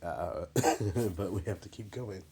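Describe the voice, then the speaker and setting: A man's voice: deep voice, takes it slowly, dark tone, slightly rough. A man talking casually over his drawing, his voice a little scratchy as he gets over being sick, rambling with many fillers and restarts. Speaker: artist narrating his drawing process.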